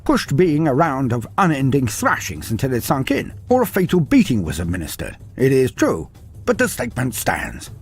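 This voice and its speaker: A man tells a comic tale in a breathy voice that swings up and down with lively pitch. His delivery is wry and dry, drifting into playful sidebars. Faint music sits under the narration. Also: moderate pace. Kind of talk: storybook narration with humorous asides.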